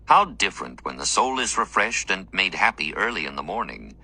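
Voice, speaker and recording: A man with a low voice reads a devotional text aloud. His tone is very bright and forceful, with lively movement in pitch. The delivery is formal, earnest and measured, as a narrator of written prose. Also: creaky, slightly rough, dry recording.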